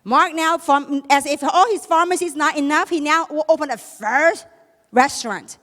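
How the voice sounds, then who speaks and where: A woman's voice: high-pitched, normal speed, a bit bright. A woman with a Chinese accent gives a motivational business seminar talk, emphatically posing questions and answering them herself. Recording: echoey hall, long reverb.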